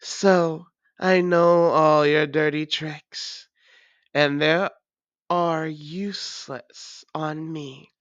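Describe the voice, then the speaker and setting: A woman as a slow, menacing vampire ruler. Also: low voice, no echo, dull audio. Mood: taunting, menacing. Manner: taunting, deliberate, imperious. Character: proud, menacing female vampire ruler, former hunter. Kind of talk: dramatic audio roleplay monologue.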